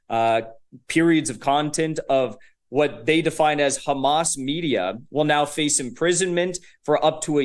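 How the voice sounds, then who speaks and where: A young man appearing as a guest commentator, talking in a casual, emphatic, fast-flowing way with fillers. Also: a little high. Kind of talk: political commentary.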